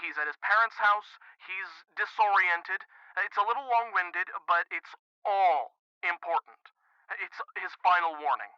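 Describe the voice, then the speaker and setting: A man's voice: sits high, unhurried, sharp tone, smooth, no echo, muffled recording, exaggerated voice. A man playing a tense, paranoid caller. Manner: terse, urgent. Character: paranoid caller with alien evidence.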